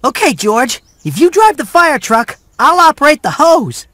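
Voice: sits high, big pitch swings, normal speed, pushed and bright, no room sound, heavily acted. An actor voicing a polite, earnest little male mouse hero in a game, neatly spoken and eager. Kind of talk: scripted game cutscene dialogue.

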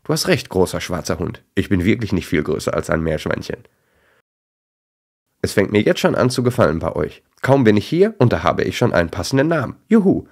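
A man's voice: fairly low pitch, very animated, brisk, darkish tone. Man as a tiny friendly female pup. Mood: happy. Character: tiny, friendly, hesitant young female dog. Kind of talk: children's storybook character voice.

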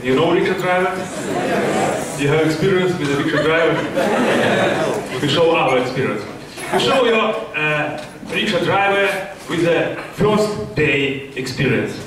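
A young man, not a native English speaker, presenting comedy on stage in halting, broken English with repeated words. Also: a little high, expressive. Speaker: stage performer, pantomime artist. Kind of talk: comic stage presentation to audience. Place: indoor auditorium stage. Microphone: stand microphone, picked up by far camera. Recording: distant, very echoey hall, noisy audience. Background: loud crowd and room noise.

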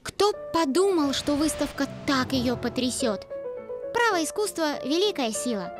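A woman voicing a high earnest schoolboy. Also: big pitch swings, normal speed. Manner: childlike, earnest, sing-song. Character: first-grade boy Petya, earnest and naive. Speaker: narrator. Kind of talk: storybook narration and poem recital. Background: loud children's music.

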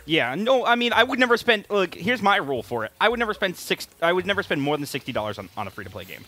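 A man's voice: high-pitched, sing-song, fast talker, pushed and bright. A man bantering casually as he plays a video game, blunt and teasing, swearing freely. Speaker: gaming video co-host and player. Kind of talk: casual banter over gameplay.

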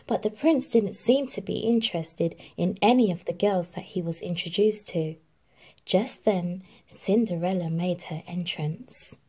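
A woman reading a children's storybook aloud in an expressive, animated narrating style. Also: on the low side, a little slow, breathy edge. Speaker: storybook reader.

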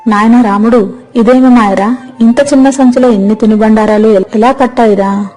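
A woman's voice: fairly high pitch, steady tone, moderate pace, mellow, faint music behind, natural voice. A woman playing a weary, long-suffering mother of grown sons in a plaintive, fretful way for a moral story. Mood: puzzled. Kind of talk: acted moral story dialogue.

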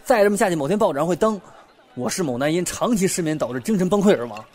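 A man's voice: high voice, lively intonation, fast talker, a little mellow, some chatter behind. A young man acting in an audio drama as an anxious, sleepless bookshop owner who hesitates and rambles as he confides in a friend. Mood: agitated. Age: young adult.

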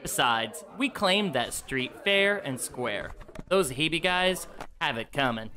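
A man's voice: on the high side, expressive, slow, sharp tone, a little hiss or hum, exaggerated voice. A man playing a cocky, goofy, hot-headed young yakuza brawler with brash, bumbling boasts in an anime-style audio drama.

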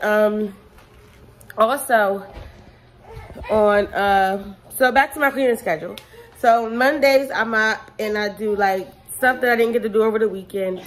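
A woman's voice: fairly high pitch, poor audio. A young woman, a stay-at-home mom, chatting casually to her phone camera in her living room, rambling with lots of "um" and "like". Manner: rambling, chatty, many fillers like "um" and "like". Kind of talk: casual clean-with-me vlog. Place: apartment living room, indoors. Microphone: phone camera at arm's length.

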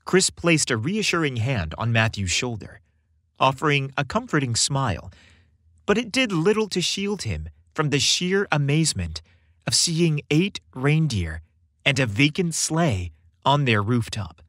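Expressive man narrating a story, dry studio. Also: medium pitch, unhurried, dry recording. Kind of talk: scripted story narration, expressive audiobook-like delivery.

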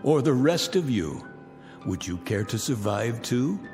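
An actor playing a wealthy, cynical man who calmly announces the end of the world from his bunker, with a smooth, menacing, deliberate delivery. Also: medium pitch. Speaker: Mr. Raiden, bunker owner. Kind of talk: radio drama acting. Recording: long reverb with music beneath.

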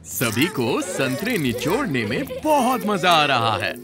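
A woman's voice: low-pitched, wildly swooping pitch, slow. A woman narrating a cartoon for kids in a sing-song storytelling manner. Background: loud cartoon music.

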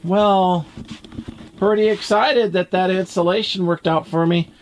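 Man chatting casually over clear background noise. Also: sits high, fairly even intonation, a little slow, low-quality recording. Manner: informal, thinking aloud. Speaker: DIY home-building vlogger. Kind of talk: casual narration while working. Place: construction site, outdoors and in a small unfinished insulated room. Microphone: camera microphone, sometimes across the room.

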